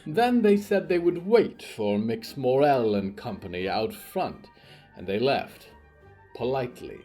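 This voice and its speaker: A man's voice: mid-range voice, animated, measured pace, mellow, faint music behind. A man playing an anxious, fussy male devil in an audio drama, with an emphatic, exasperated delivery.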